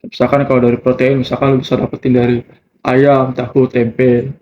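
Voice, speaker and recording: A man's voice: mid-range voice, monotone, normal speed. A nineteen-year-old man, giving casual, slangy answers as an interviewee. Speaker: student interviewee in a group discussion. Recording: muffled, noisy lo-fi recording.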